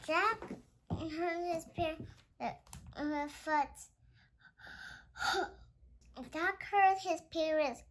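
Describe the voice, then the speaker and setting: High-pitched child reading slowly aloud. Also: a bit bright. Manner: halting, stumbles over words. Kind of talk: halting read-aloud of a Christmas picture book.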